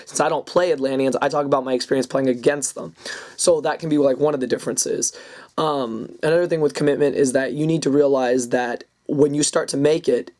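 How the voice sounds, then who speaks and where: A young man with a raspy voice he is losing, hosting a casual advice vlog and talking loosely with lots of ums and uhs. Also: mid-range voice, darkish tone. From California. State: hoarse, losing his voice. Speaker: YouTube card game channel host.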